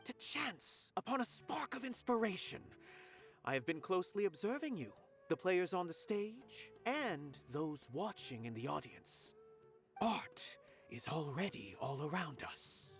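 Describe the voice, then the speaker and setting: A woman's voice: fairly low pitch, sing-song, unhurried. A woman playing a playful, cryptic philosopher in a video game, theatrical and teasing. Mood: amused, mysterious. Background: game music.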